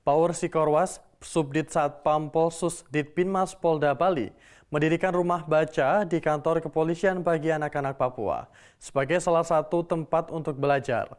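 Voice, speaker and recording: A young man presenting a television program in a formal, steady announcer style. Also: fairly high pitch, moderate pace, clear, resonant tone. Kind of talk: scripted broadcast presenting.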